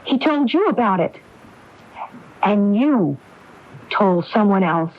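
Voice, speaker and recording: A muffled 1980s AM radio drama recording. a determined, grieving woman who presses with probing questions. Her delivery is deliberate and accusing, in a classic radio acting style. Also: mid-range voice, lively intonation. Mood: accusing, grieved. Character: determined grieving woman confronting her brother over fraud.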